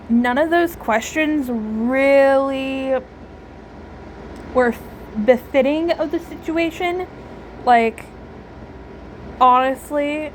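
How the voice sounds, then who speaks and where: A woman giving casual reaction commentary on a video game, halting as she thinks aloud. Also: sits high, rough recording. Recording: background noise under commentary.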